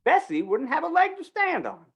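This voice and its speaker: A woman's voice: high voice, wildly swooping pitch, normal speed, exaggerated voice. A woman acting a flustered, wheedling small-town male undertaker in a 1950s-style Western radio play, stammering in rustic speech. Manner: stammering, pleading, rustic grammar.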